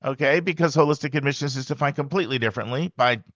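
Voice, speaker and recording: A man hosting a podcast in a loud, emphatic, conversational way, often turning aside to check in with the listener. His voice sits in an ordinary middle register. The recording is close and dry, with no room sound. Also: mid-range voice, rapid-fire, dry recording. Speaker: podcast host and college admissions advisor. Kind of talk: casual podcast monologue.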